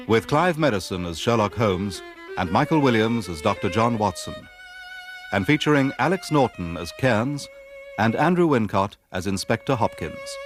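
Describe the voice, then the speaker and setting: A man announcing the title and cast of a radio drama in a scripted broadcast style. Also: on the low side. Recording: violin music behind.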